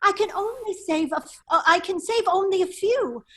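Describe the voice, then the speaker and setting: A woman's voice: very high-pitched, normal speed, a bit bright. A woman reading the part of a daring English baronet with a secret double life in a radio drama. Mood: grave, firm. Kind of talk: table read of a 1930s-style radio drama.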